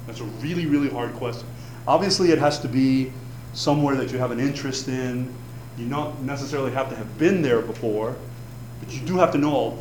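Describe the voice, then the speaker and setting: A man's voice: mid-range voice, darkish tone. Chatty man in an echoey room. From Cuba. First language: Spanish. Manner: chatty, rambling, many fillers and restarts. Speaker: advisor giving an info session. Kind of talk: informal talk to students. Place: room with an audience. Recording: echoey room, faint background noise.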